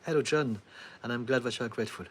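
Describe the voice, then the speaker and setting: A middle-aged man from France with a French accent, a therapist calmly answering viewers' questions on a livestream, laughing now and then and filling pauses with ums. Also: mid-range voice, slight background noise. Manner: calm, advising, laughs often, many ums.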